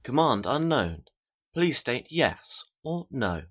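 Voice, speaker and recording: A woman's voice: very deep voice, very animated, takes it slowly, muffled recording, exaggerated voice. A woman voicing a polite, rigid automated announcer aboard an alien space pod in an audio drama. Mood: flatly insistent. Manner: scripted, repetitive, formally polite.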